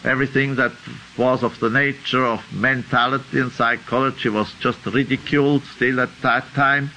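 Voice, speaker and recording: A man's voice: medium pitch, fairly even intonation, moderate pace, bright, forceful tone, slight background noise, poor audio. An older man with a Swiss accent giving an unscripted lecture, thinking aloud and tagging points with a questioning "huh?". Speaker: teacher.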